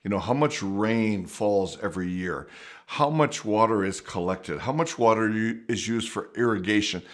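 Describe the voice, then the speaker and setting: A man's voice: fairly low pitch, moderate pace. Middle-aged man telling a rambling anecdote. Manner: rambling and conversational, with frequent restarts and asides. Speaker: storyteller, mission worker. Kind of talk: casual spoken anecdote. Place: his home.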